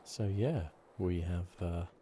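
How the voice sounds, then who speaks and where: A man giving quiet, relaxed, chatty ASMR commentary as he hosts a video game playthrough. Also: sits low, takes it slowly, a little hiss or hum. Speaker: gaming video host. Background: game music.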